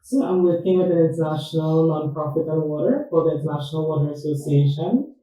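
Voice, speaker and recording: Upbeat man, dark echoey audio. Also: on the high side, fast. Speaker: alumnus, project manager. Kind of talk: casual self-introduction.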